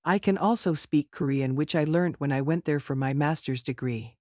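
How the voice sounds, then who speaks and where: A man's voice: fairly high pitch, darkish tone, no room sound, clean studio sound. A man answering mock speaking-test questions in a formal, rehearsed way. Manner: formal, rehearsed, measured delivery. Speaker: test candidate.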